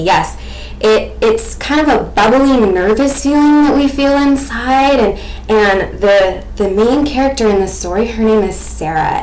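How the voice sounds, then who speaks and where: An American woman in her twenties giving an expressive, explanatory lesson presentation as a student teacher. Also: medium pitch. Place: small indoor room at home. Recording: echoey room with faint background noise.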